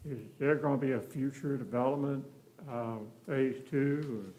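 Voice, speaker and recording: Plain-spoken man with mid-pitched voice. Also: fairly even intonation, unhurried, warm tone, a little hiss or hum, poor audio. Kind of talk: informal meeting questions.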